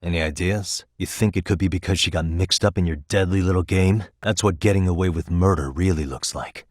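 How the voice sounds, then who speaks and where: A determined American private detective in a dramatic video game. His voice is deep with a husky edge, recorded close and dry. He speaks in a measured, probing way, sharp and dryly pointed. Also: no room sound. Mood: grave, pressing.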